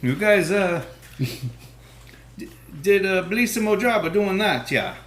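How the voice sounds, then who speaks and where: A man's voice: high-pitched, lively intonation, slow, fairly bright tone. A man running a tabletop game as game master, chuckling often and hesitating with frequent ums, recorded in an echoey room. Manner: laughing, hesitant, lots of ums.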